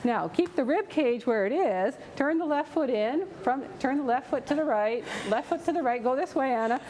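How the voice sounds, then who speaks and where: A woman's voice: sits high, animated, normal speed, very noisy. A woman giving step-by-step yoga instructions, patient and directive, repeating her cues. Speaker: yoga teacher.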